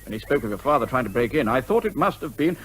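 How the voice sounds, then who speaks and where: Lively family doctor. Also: male voice, mid-range voice, slight background noise. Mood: brisk, puzzled. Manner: brisk, reasonable. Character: brisk, sensible male family doctor. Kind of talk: radio comedy drama acting.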